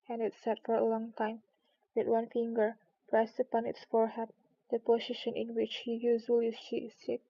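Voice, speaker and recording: Casting: female storybook narrator, very even high voice with creak, careful reading, slightly dull recording. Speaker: streamer reading books aloud.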